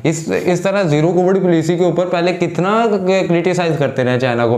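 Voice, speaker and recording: A man's voice: a little high, moderate pace, darkish tone. Young man, roomy echo. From Pakistan. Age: young adult. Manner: rambling, many fillers and restarts. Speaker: reaction channel co-host. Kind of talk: casual commentary. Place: small indoor room. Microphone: lapel mic. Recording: roomy small-room echo.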